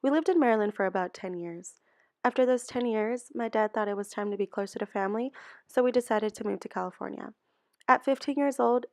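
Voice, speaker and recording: A woman's voice: mid-range voice, vocal fry, a little mellow, no room sound, studio quality. A woman in her twenties from El Salvador, earnestly reading a scripted narration about teaching. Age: 20s. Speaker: student teacher. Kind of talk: scripted narration for a class video.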